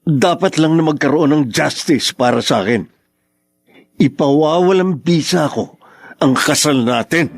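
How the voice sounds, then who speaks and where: An actor playing a wronged, firm and scheming husband in a radio drama, with a Filipino accent. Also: male voice, fairly high pitch, measured pace. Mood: aggrieved. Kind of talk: Tagalog radio drama acting.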